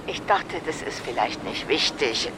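A woman's voice: low-pitched, animated, unhurried, noisy background. An actress playing an eager, chatty female museum employee in a German radio drama, getting to her point in a roundabout way. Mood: eager, hesitant.

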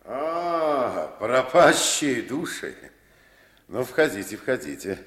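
A man's voice: mid-range voice, big pitch swings, slow. A man acting an older uncle, a resin worker who calls the forest folk to strike, in an old radio play with music behind. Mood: rousing. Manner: rousing, then singing a work song. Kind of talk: 1950s radio drama acting. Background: loud music.

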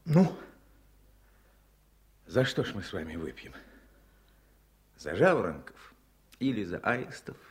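A gravely ill man, wry and tender in the face of death. His lowish voice moves expressively through drawn-out phrases, in the manner of a seventies Soviet radio play. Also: very animated. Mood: grateful, tender. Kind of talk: 1970s Soviet radio drama acting.

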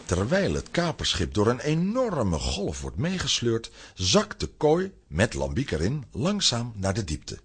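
A man's voice: medium pitch, wildly swooping pitch, measured pace, breathy edge. A man narrating a children's radio play in a scripted, dramatic storytelling style.

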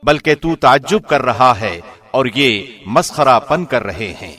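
A man reading a scripture translation formally, in the measured, solemn declamation of a radio presenter. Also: medium pitch, lively intonation, pushed and bright.

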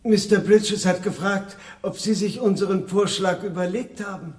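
A man's voice: high voice, moderate pace, distant mic, natural voice. An actor playing a polite, exacting middle-aged Japanese businessman in a German radio drama, speaking with formal, clipped courtesy.

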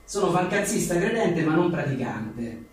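A man's voice: on the high side, sing-song, moderate pace. Echoey, distant man reading wry one-liners. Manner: wry, jokey, self-deprecating. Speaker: author reading from his own book. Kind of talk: reading comic aphorisms aloud with chatty asides. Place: echoing venue at a book presentation. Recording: very echoey room, distant mic, faint noise.

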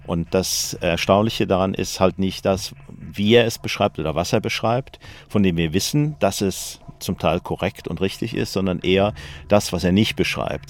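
A man explaining things in a measured, analytical way, as a commentator in a documentary. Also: low voice. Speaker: commentator on a historical memoir.

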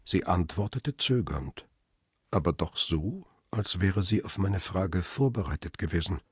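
An actor playing a middle-aged, world-weary male photographer who narrates a radio crime drama in a measured, reflective way. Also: sits low, lively intonation, slightly rough, no echo, clean studio sound, full character voice. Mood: reflective, tender. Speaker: first-person narrator and lead character.

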